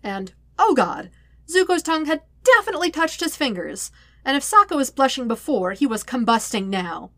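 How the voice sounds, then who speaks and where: A woman's voice: high voice, big pitch swings, moderate pace, a bit bright, dry recording. A woman reading scripted fiction aloud as a narrator, expressively and conversationally, with wry emphasis. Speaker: podfic narrator.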